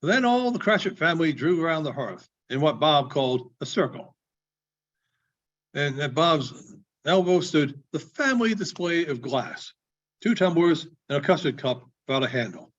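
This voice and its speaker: Expressive man reading a storybook, lively pitch. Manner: theatrical, deliberate, with dramatic pauses. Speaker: librarian giving a public reading. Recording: dull, muffled lo-fi recording.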